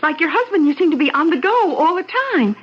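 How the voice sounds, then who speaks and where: An actress playing an inquisitive, flattering woman reporter in an old-time radio drama. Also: sits high, brisk. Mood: gushing, curious. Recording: dull old radio recording.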